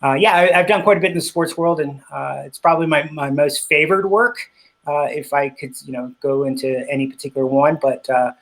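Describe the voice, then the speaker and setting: A middle-aged man in a casual interview, talking about his work with frequent pauses, fillers and restarts; he grew up speaking Spanish. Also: fairly high pitch, fairly bright tone, rough recording. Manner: hesitant, many fillers and restarts. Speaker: interview guest, cycling business owner.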